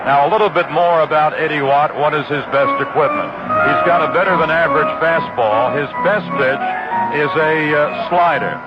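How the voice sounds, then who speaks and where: A man calling baseball on the radio from a ballpark booth, laying out statistics in a steady, detailed way. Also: on the high side, normal speed, loud music behind the voice. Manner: steady, detailed stats-heavy delivery. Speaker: radio play-by-play announcer. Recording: muffled 1969 radio broadcast with loud hiss.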